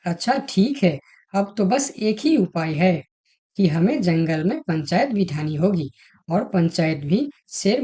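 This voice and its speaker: A persuasive female animal from a cartoon fable acted by a woman. Her voice is slightly low and mellow. She takes her words very slowly. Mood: scheming. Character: female talking animals, fox and elephant, in a fable. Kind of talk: Hindi animated moral story dialogue.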